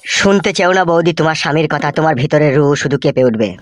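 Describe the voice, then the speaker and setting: A man's voice: fairly high pitch, rapid-fire, slight background noise, lightly acted. A man playing an aggrieved, dramatically complaining cartoon fellow who spills scandal. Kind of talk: comedy cartoon dubbing.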